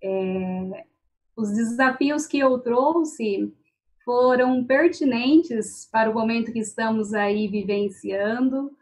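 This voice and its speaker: A woman mediator answering as a guest in a deliberate way, often adding "né". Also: mid-range voice. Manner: slow, deliberate, with frequent "né". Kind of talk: interview answers.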